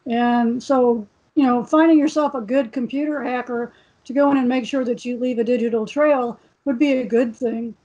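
A woman on a panel speaking from home in a relaxed way, with wry asides. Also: a little high, normal speed. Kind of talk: casual panel discussion. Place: home room. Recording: dull lo-fi video call.

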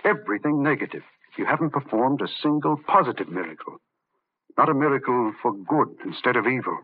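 Intense doctor in old radio play, raspy edge, urgent and emphatic, phone-like old recording. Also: male voice, medium pitch, expressive, fairly quick. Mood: urgently excited. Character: intense, rational male doctor, badly rattled. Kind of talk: old-time radio drama acting. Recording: muffled narrow-band old radio recording.

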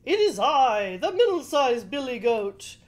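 A woman's voice: high-pitched, sing-song, unhurried, voice pushed up. A woman voicing a crafty middle-sized male goat, pleading emphatically in a children's story.

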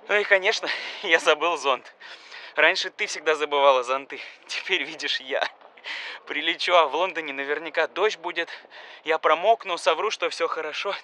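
A man's voice: a little high, sharp tone. An actor playing an anxious, pleading adult son, insistent and intimate, in a scripted voice-message monologue to his mother. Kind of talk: scripted audio film acting, voice-message monologue.

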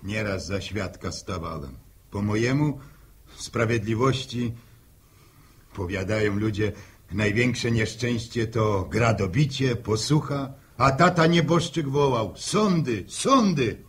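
An actor playing a weary, irritable older farmer who grumbles and stammers when cross, in a 1960s radio drama. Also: male voice, on the low side, expressive, takes it slowly, fairly bright tone. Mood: resigned, grumbling. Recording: old 1960 radio drama recording.

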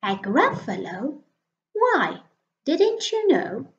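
A woman's voice: sits high, wildly swooping pitch, extremely slow, fairly bright tone, pitched above the performer's own voice, full character voice. A woman voicing a small, polite and cheeky mouse in a storybook reading. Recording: dull, lo-fi recording.

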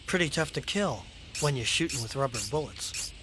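A man playing a gruff, wisecracking, gun-toting churchman in an anime dub, with a wry, casual, sardonic delivery. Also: on the high side, animated, moderate pace, background noise, natural voice. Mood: brusque. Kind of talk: English anime dub acting. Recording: loud music under the voice.

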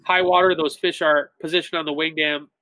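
A man in his thirties, a tournament bass angler, explaining things in a relaxed way full of "like" fillers over a video call. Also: fairly quick, dry recording, low-quality recording. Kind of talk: casual interview talk. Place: indoor room with shelves of tackle. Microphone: webcam on a video call.

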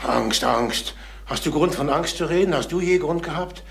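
A man's voice: fairly high pitch, vocal fry, a bit bright. A shaken, irritable salesman, faint noise. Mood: agitated, formal. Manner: evasive, halting, brusque. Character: tired, irritable male salesman, shaken and defensive. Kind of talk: German crime radio play acting. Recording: faint noise on old radio recording.

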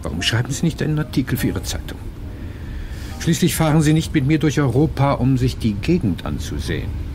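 Pompous, fussy professor, played by a man, lively intonation. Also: medium pitch, brisk, music in the background, close to an everyday voice. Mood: lecturing. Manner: pedantic, pompous, formal diction. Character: pompous, brilliant older male professor-detective, fussy and condescending. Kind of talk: radio play acting. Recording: noisy train ambience behind.

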